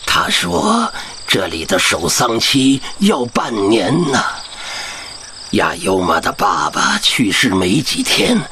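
An actor playing an old African village chief and doting grandfather in an earnest, expressive radio drama. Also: lowish voice, slow, vocal fry, a little hiss or hum, exaggerated voice. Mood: distressed. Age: older.

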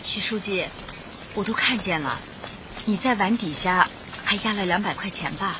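An actress playing a young, observant community worker in a radio drama, respectful and attentive. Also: female voice, medium pitch, moderate pace, muffled recording, background noise, natural voice. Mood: quietly knowing.

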